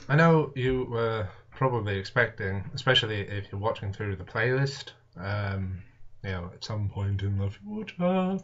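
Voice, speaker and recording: A man's voice: on the low side, expressive, unhurried, a bit bright, slight background noise. A man hosting a reading in a hesitant, apologetic way with dry, self-deprecating humour. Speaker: host and audiobook reader. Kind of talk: casual rambling intro, then narrated reading of a classic novel. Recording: dull lo-fi camera audio.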